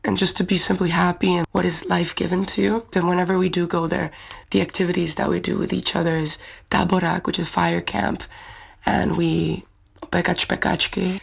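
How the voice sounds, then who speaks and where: A woman's voice: on the low side, vocal fry, husky. A young woman from the Czech Republic, a pageant winner answering interview questions in a thoughtful, earnest way. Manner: thoughtful, earnest, fluent English speaker.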